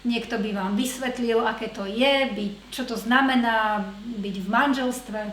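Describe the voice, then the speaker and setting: A woman interpreting a lecture consecutively, measured and hesitant with fillers as she translates. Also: a little high, steady tone, fairly bright tone. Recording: echoey hall with faint noise.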